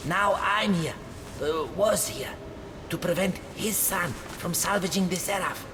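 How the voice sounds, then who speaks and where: A man playing a dying, righteous Tibetan monk in a video game, speaking halting, stilted broken English theatrically. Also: high-pitched, background noise. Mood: defensive. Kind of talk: dramatic video game cutscene acting.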